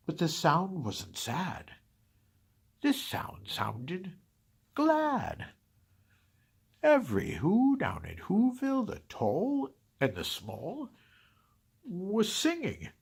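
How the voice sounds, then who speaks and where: A man's voice: on the high side, gravelly, a little mellow, breathy edge, heavily acted. A man voicing a grumpy, scheming Christmas-hating creature in a dramatic storybook reading, sighing and dropping into whispers. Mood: wondering. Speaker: reader.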